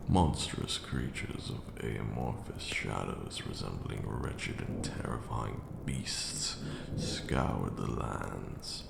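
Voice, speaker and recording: An ancient vampire lord speaks in an extremely low, heavily creaking voice. He is brooding, grand and formal, hushed as in an ASMR roleplay, slowly telling dark tales of old. The sound rings in a long, echoey reverb. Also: background noise, exaggerated voice. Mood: grim, ominous. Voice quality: gravelly, breathy drops into near-whisper. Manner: slow, deliberate, hushed, formal archaic phrasing. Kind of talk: ASMR roleplay monologue, dramatic storytelling.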